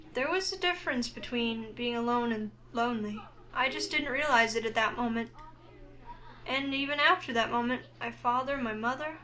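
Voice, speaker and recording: Woman playing an earnest high-voiced young heroine. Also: pushed and bright, a little hiss or hum, low-quality recording. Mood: wistful. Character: curious, introspective young woman heroine narrating her thoughts. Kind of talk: visual novel read-aloud.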